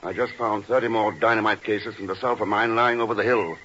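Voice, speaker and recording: Unflappable secret agent, male actor: low-pitched, forceful, wry and clipped, old-time radio thriller sound. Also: steady tone, a little fast, a little hiss or hum, lightly acted. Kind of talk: 1950s radio acting. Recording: dull 1950s radio recording.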